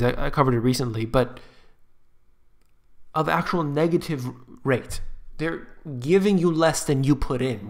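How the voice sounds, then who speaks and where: Scornful man talking over charts. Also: medium pitch. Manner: emphatic, scornful, repetitive. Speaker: finance commentator. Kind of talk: screen-share commentary on charts. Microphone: voice-over on screen recording.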